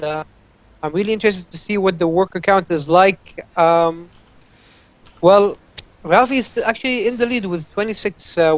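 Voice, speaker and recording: A man co-casting a live strategy game, giving measured play-by-play with frequent fillers. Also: high-pitched, rough recording. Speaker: esports co-caster.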